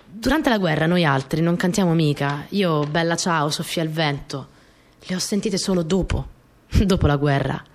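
Radio play lead: young Italian wartime courier, slightly low female voice, earnest and dreamy, simple reminiscent delivery. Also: moderate pace. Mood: fond, lively. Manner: simple, conversational, reminiscent storytelling with short phrases. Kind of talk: radio theatre monologue, intimate first-person storytelling.

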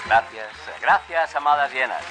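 An actor playing a manic, gleefully cruel TV presenter in a satirical radio drama, theatrical and mocking. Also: male voice, medium pitch, a little slow, lightly acted. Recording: loud crowd and music behind.

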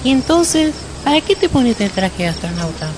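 Little Argentine boy, curious, eager, high voice, radio drama, birds and buzzing insects behind. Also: sing-song, normal speed, background noise. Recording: clear outdoor birds and insects.

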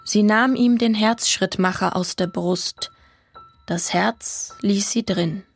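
An actress playing a deadpan, matter-of-fact teller of macabre, absurd tales in a radio play. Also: female voice, medium pitch, slow, slightly rough, faint music behind. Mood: deadpan, quiet. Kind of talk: literary radio play narration.

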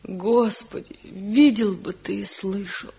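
A muffled vintage radio drama recording carries a husky young wife from a village. She is sorrowful and pleading, lamenting as one wrongly blamed. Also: mid-range voice, steady tone, slow pace, mellow, heavily acted. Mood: pleading, despairing. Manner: plaintive, lamenting. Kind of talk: 1970 radio drama acting.

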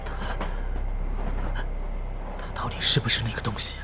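A man's voice: moderate pace, noisy background, close to an everyday voice. A man playing a wary, anxious protagonist in a hesitant, brooding inner monologue for a suspense audio drama. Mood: wary, suspenseful. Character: wary, anxious man deducing which passenger is a ghost.

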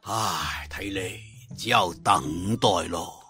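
A man's voice: low-pitched, slow pace, bright, forceful tone, faint music behind, voice pushed down, full character voice. A man with a Cantonese accent voicing a humble, anxious elderly Buddhist monk in an audiobook, sighing and deferential. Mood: resigned, worried.

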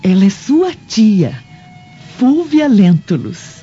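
Gentle young mother in ancient Rome, radio drama, heavily breathy, dark soft tone, lo-fi recording. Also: mid-range voice, sing-song, takes it slowly, exaggerated voice. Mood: tender, reassuring. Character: gentle, wistful Roman wife and tender mother. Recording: dull lo-fi audio.

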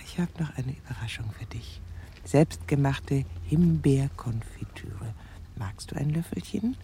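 A bitter, lovesick older woman in a radio play, gloating and tender by turns. Her voice is dark and creaky, with wide swings of pitch, and it sinks into intimate, breathy murmurs. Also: a little hiss or hum, full character voice. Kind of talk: radio drama monologue.

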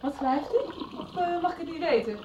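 A woman's voice: extremely high pitch, expressive, fairly quick, lo-fi sound, noisy background. An actress playing a friendly, polite schoolgirl in a radio drama. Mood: polite, curious. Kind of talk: 1990s radio drama acting.

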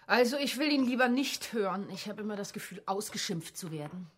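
A woman's voice: medium pitch, expressive, fairly quick, a bit bright, husky. An actress playing a meek but drily candid young woman, understated and hesitant, in radio play dialogue. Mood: meek deadpan.

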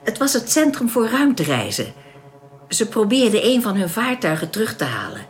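A woman acting in a radio drama as a calm, welcoming young alien who explains things politely and with composure. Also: medium pitch, moderate pace, slight background noise, lightly acted. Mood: earnest, explanatory.

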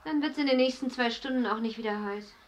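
An actress in a German radio drama playing a weary, matter-of-fact wife who talks in terse, practical sentences. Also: female voice, high-pitched, fast, close to an everyday voice.

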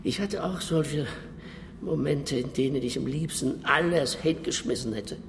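A man's voice: on the high side, measured pace, creaky. An actor playing an earnest, talkative middle-aged wine merchant and father in a radio play, explaining himself. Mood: worried, earnest. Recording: reverberant cellar-like room, faint noise.

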